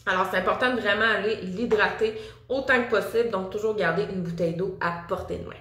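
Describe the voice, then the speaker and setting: A woman with a Quebec French accent teaches singing in a casual, upbeat video lesson, chatting directly to her viewer. Her voice sits at an ordinary middle pitch. The room around her is noticeably echoey. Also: fast, fairly bright tone. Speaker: singing teacher and vocal coach.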